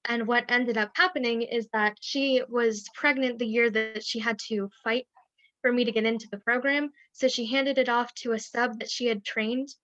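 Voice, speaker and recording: A woman's voice: fairly high pitch, steady tone, normal speed, fairly bright tone, no echo. A woman on a video webinar telling a personal story candidly, with rueful little laughs along the way. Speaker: webinar panelist. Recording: dry, dull lo-fi sound.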